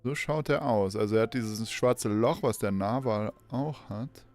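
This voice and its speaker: A man's voice: on the low side, a little slow, a little mellow, faint music behind. A man, a native German speaker, reacting to a game with casual live commentary.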